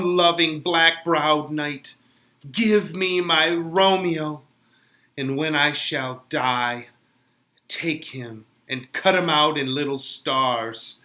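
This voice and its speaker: Young lovestruck bride, played by a man, eager stage verse, very slow, dramatic, raised pitch, forceful delivery.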